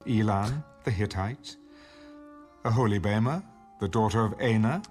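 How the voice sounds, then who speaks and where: A man giving a formal, measured scripted narration of scripture, as in an audio Bible. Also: on the low side, darkish tone. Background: background music.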